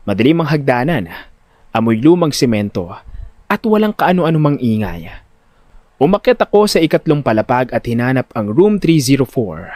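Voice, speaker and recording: A man giving expressive, comically exasperated first-person narration of a dramatic audio story. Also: mid-range voice, no room sound. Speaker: narrator and lead voice actor.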